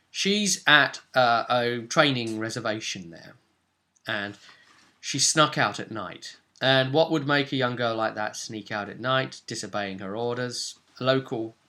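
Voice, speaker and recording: A man with a very bright, forceful voice hosts a role-play story recap. His delivery is theatrical and playful, like a game master talking casually to his viewers. The pitch sits in a middle range for a man. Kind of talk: casual, jokey story recap for a video audience.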